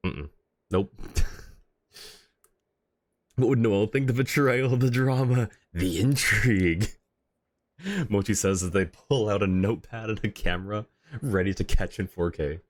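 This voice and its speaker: A man's voice: fairly low pitch, no room sound. A man live streaming a game, chatting casually with viewers in an easygoing, rambling way and reading comments aloud. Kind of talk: casual chat with viewers while gaming.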